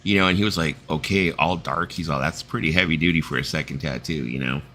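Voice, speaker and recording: A man's voice: extremely low pitch, quick pace, pushed and bright, slight background noise. A man in his fifties hosting a casual stream, telling loose, rambling stories with some swearing. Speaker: stream host. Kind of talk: casual chat.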